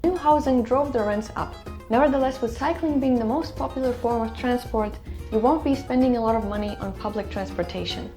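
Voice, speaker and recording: A woman narrating a scripted explainer video in an even, informative delivery. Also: fairly high pitch.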